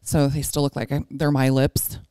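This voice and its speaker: A woman's voice: rumbling low, flat delivery, normal speed, dark tone, clean tone, no room sound. A woman in her forties chatting casually and candidly, with a self-deprecating way of talking. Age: 40s. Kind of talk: casual chat.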